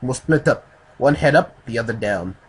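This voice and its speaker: A man's voice: medium pitch, animated, normal speed. A man voicing a blunt, commanding Russian man in a story reading. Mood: decisive. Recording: clearly noisy home recording.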